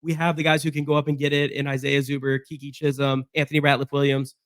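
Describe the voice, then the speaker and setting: Hoarse man breaking down a football roster. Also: medium pitch, completely flat delivery, quick pace, dry recording, clean studio sound. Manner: chatty, rambling with fillers and restarts. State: hoarse from being sick. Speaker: sports podcast host. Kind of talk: casual solo sports breakdown.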